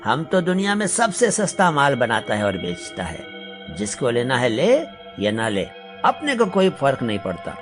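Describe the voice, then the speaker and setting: A man voicing a boastful, scornful army officer in an animated story, taunting and full of swagger. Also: mid-range voice, moderate pace, over music. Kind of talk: animated Hindi story voice acting.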